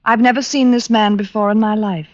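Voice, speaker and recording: An actress playing a polite but guarded, deceitful widow in an old radio drama. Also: female voice, medium pitch, fairly even intonation, fairly quick, a little mellow, dry recording, faint music behind, lightly acted. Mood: composed. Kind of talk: 1940s radio acting. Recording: dull old radio recording.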